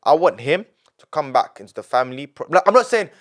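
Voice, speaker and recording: Fast-talking young London man. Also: on the high side, sing-song, a bit bright. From London, England. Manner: rambling, emphatic, repeats himself, lots of restarts. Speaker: podcast guest. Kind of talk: casual podcast interview.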